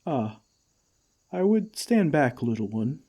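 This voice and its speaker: A man playing a gentle, caring friendly giant in an ASMR comfort roleplay, with tender, old-fashioned, unhurried phrasing. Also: medium pitch, big pitch swings, slightly rough, heavily acted. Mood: kindly, patient.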